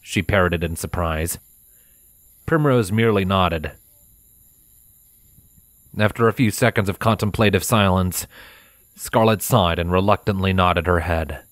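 A man with a deep voice reads fiction as a narrator. His delivery is scripted and dramatic, recorded dry and close. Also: unhurried, long pauses, no echo.

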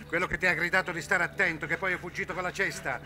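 A man's voice: high voice, steady tone, fast, lightly acted. A middle-aged man playing a stern, suspicious villa guard who barks orders in a vintage television comedy-drama. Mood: stern, threatening. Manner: commanding, barking orders. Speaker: actor in a TV serial. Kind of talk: 1960s Italian television comedy-drama acting. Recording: vintage 1960s television soundtrack.

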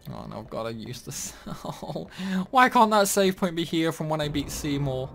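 A man's voice: a little high, expressive, moderate pace, gravelly, a bit bright. Chatty young man doing game commentary. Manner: chatty, rambling, lots of likes and uhs. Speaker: gaming youtuber. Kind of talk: casual gameplay commentary.

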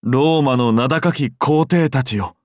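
An actor playing a devout, courteous Byzantine emperor in a game, speaking formally and reverently. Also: male voice, medium pitch, a little slow, no room sound, studio quality, lightly acted. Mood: reverent, earnest. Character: solemn, devout, courteous Byzantine emperor, humble and self-doubting. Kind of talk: scripted game character dialogue.

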